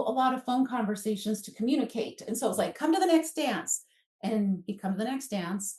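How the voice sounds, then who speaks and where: A woman's voice: medium pitch, distant mic. A woman in her fifties with an American accent tells stories casually, acting out remembered dialogue as she goes. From Alaska, United States. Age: 50s. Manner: animated, acts out remembered dialogue. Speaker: guest author. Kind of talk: casual conversational storytelling. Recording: roomy echo, away from the mic.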